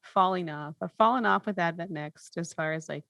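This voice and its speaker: A woman's voice: fairly low pitch, normal speed, creaky, fairly bright tone, no echo. A woman in her thirties chatting casually and candidly as a podcast host. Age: 30s. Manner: candid, informal. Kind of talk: casual chat.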